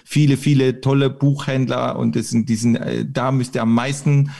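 A middle-aged man with a German regional dialect talks on a podcast, circling his points with many restarts and short laughs. His voice has a gravelly, creaky edge at an average pitch. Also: flat delivery, moderate pace, warm tone. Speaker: podcast guest, author. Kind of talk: casual podcast conversation.

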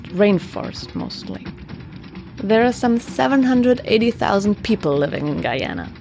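A woman reporter narrating a documentary report in a clear, steady, explanatory way. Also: mid-range voice.

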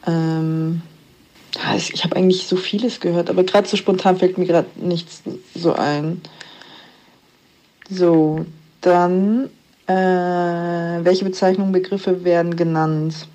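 A woman's voice: fairly low pitch, steady tone, slow, warm tone, poor audio. A woman in her thirties from Germany, chatting on a podcast in a plain, matter-of-fact way. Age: 30s. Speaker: podcast guest. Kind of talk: casual podcast chat.

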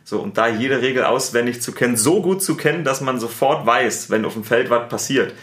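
A man with a Berlin accent, a sports TV presenter and editor, answering interview questions in a relaxed, chatty way with many fillers. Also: mid-range voice, brisk, reverberant. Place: studio.

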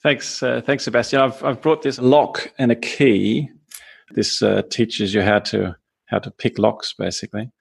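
A middle-aged Australian man with a gravelly voice, a scientist guest on a podcast, talking with many hesitations and restarts. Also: medium pitch, steady tone, a little slow. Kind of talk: podcast interview.

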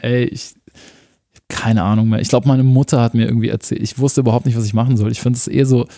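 A man's voice: medium pitch, level tone, fast talker, vocal fry, mellow, no room sound, clean studio sound. A man in his thirties from Munich, talking casually as an interview guest, rambling and laughing often, with a lot of slang. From Germany (Munich).

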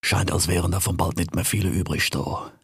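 A man plays a blustery old monkey king with a thick lilt, a very deep, husky yet bright voice that rambles on. His talk is dotted with "hör mal", recorded dry and close. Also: fairly even intonation, fairly quick, no room sound, heavily acted. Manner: dialect-heavy rambling, says "hör mal" often.

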